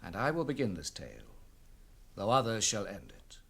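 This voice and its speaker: An actor playing a wise, grave elven lord with a measured, authoritative manner in a radio drama. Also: male voice, lowish voice, wildly swooping pitch, creaky, a bit bright, heavily acted.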